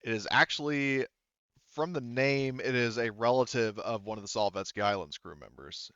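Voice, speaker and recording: A man speaking off the cuff as game master of a tabletop game, haltingly and thinking aloud. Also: medium pitch, a bit bright, clear, resonant tone, no room sound, dull audio. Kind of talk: unscripted tabletop game narration.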